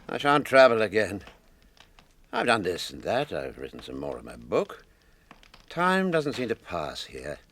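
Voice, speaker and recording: A man's voice: sits low, fairly bright tone, full character voice. A man acting an elderly, chatty, old-fashioned hobbit with a British accent in a radio drama.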